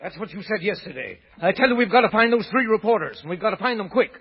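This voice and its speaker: An actor playing a demanding, clipped police inspector in a 1930s radio drama. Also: male voice, high voice, expressive, fast, soft background music. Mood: angry, pressuring. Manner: clipped, commanding. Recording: muffled old radio recording.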